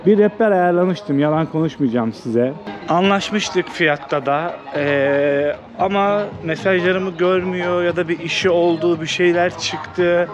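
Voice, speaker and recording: A man's voice: high voice, unhurried, over music. A man hosting a casual, unscripted travel vlog, thinking aloud in an informal, conversational way. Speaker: travel vlogger and host. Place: outdoors, walking through an old walled town. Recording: outdoor city ambience, background noise.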